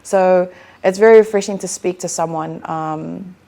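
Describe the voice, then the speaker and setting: A woman's voice: lowish voice, moderate pace, gravelly, dark tone. A woman from South Auckland in New Zealand, hosting an interview and encouraging her guest with frequent affirmations. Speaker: interview host. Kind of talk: casual interview.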